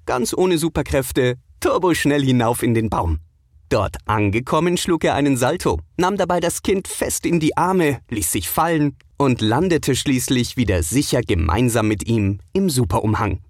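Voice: mid-range voice, no echo, studio quality. A narrator reading a scripted children's audio adventure as a storyteller.

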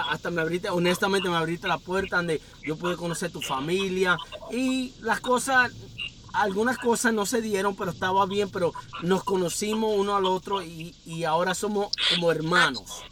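Man, Ecuadorian Spanish accent, high voice, chatty, meandering, podcast host, inside a car, faint street noise. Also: moderate pace, fairly bright tone. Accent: Ecuadorian Spanish with some Dominican touches. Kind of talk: casual interview chat. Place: inside his parked car. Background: faint street sounds.

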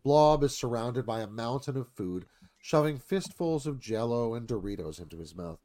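A man's voice: medium pitch, expressive, moderate pace, mellow. A man narrating scene directions in a live screenplay reading.

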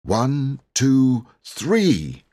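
Boy ringleader for a storybook, male reader performing: bossy, bold, emphatic, animated pitch, slightly rough tone. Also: heavily acted. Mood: rallying. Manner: commanding, deliberate, emphatic.

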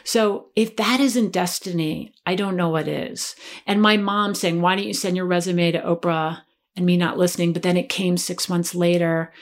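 A woman in her forties, a guest in a casual chat, telling a childhood story in a lively, chatty way. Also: fairly low pitch, moderate pace. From Maryland, USA. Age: 40s.

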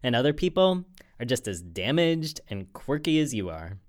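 A man reading a personal essay aloud in an earnest, measured way. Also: medium pitch, big pitch swings.

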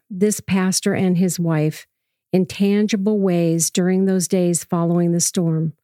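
Low, dark-voiced woman narrator. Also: no room sound, studio quality. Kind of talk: scripted first-person storytelling narration.

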